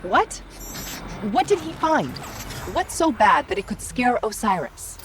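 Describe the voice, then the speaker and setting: A woman voicing a sassy, quick-witted AI companion spirit in a video game, conversational and direct, with music behind her. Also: a little high, big pitch swings, background noise. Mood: worried, puzzled. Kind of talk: video game cutscene acting.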